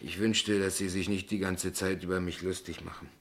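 A small-town poet in a radio drama, artless and quietly stubborn. His voice is fairly low, and he talks in an earnest, plain-spoken way. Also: fairly even intonation, moderate pace. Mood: earnest, wistful. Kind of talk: radio play acting in German.

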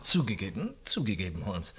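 An actor playing an earnest, puzzled sidekick in a radio drama, asking questions eagerly. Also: male voice, sits low, huge pitch swings, fairly quick, fairly bright tone, slightly rough, heavily acted. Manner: questioning, easily baffled, eager. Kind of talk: 1950s radio drama acting.

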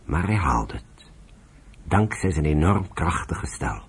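An actor narrating a radio drama as a sober storyteller, reading in a scripted, measured way. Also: male voice, extremely low pitch, big pitch swings, gravelly, breathy edge, exaggerated voice. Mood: grave, measured.

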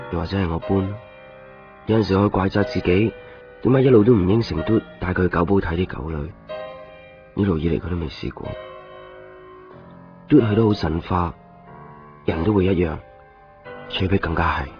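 A man's voice: sits low, moderate pace, long pauses. An actor playing a withdrawn, grieving, brooding young man in a radio drama, with a Hong Kong Cantonese accent. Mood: regretful. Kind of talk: introspective radio drama narration and dialogue. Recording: dull 1990s radio recording, music behind.

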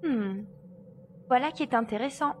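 Actress as inquisitive woman investigator, high voice, assured, articulate, science fiction audio drama, faint background noise. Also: moderate pace, close to an everyday voice. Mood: intrigued. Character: determined, inquisitive woman investigator on an alien world.

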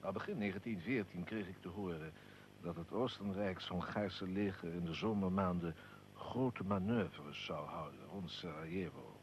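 An actor playing a commanding Serbian colonel and secret society leader in a historical radio drama, solemn and deliberate. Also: male voice, low voice, slight background noise, close to an everyday voice. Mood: proud, measured.

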